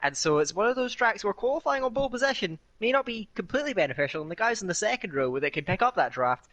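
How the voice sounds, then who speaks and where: A young man with a Scottish accent calls a sim race, his voice bright and ringing and set a little high. He talks chattily, often stopping on an "uh" and laughing at his own slips. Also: sing-song, dry recording, dull audio. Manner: chatty, with frequent "uh" fillers and a quick laugh. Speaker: esports race commentator. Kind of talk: live sim racing commentary.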